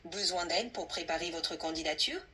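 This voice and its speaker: A woman's synthetic assistant voice, husky, speaking fluently and politely as a tutor in a conversational role-play. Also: on the low side, normal speed, pushed and bright, a little hiss or hum. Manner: polite, fluent, helpful, orderly. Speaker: AI language tutor playing an employer.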